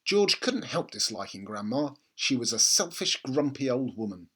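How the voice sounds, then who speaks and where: A man's voice: mid-range voice, big pitch swings, moderate pace, pushed and bright, slightly rough. A man narrating a children's book expressively, as a storybook reader.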